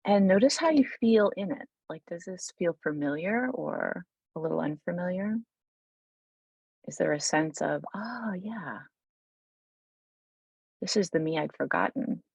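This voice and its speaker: Casting: female meditation guide, deep register with gravelly fry, soothing and informal, unhurried delivery, close dry sound. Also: expressive, darkish tone, dry recording, dull audio. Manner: soothing, unhurried, informal, with pauses mid-thought.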